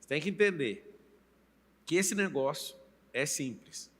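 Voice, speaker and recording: A man's voice: a little high, animated, measured pace, a bit bright, long reverb tail. A Brazilian man in his late thirties hosting an interview, talking in an emphatic, coaching way and often turning to address the viewer. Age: late 30s. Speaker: podcast host and coach. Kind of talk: motivational interview talk.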